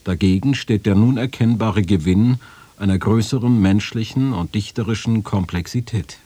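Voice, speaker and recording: A man's voice: fairly low pitch, moderate pace, mellow, breathy edge, no echo. A man reading quotations in a scripted radio essay. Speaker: reader of quotations.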